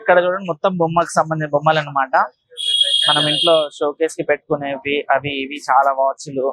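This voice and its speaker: A man's voice: fairly high pitch, little pitch movement, fast talker, fairly bright tone, dry recording, poor audio. A man giving a casual walk-through vlog commentary, explaining things directly to viewers as he goes. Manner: explanatory, repetitive filler tags, addressing viewers directly. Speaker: vlogger, market tour host.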